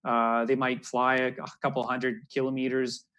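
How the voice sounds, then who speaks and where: A man's voice: medium pitch, completely flat delivery, moderate pace, fairly bright tone, dull audio. A man, giving an educational talk for children as a naturalist over a video call. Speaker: naturalist, storyteller. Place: room at home, indoors. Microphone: wired earbuds on a video call.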